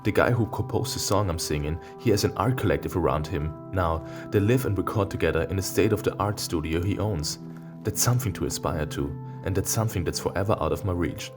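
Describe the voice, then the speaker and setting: Man, very low voice, non-native English, let's play narration, sweary sarcastic asides, game music clearly behind. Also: a little fast. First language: not English. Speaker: let's player. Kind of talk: reading a visual novel aloud with off-the-cuff commentary.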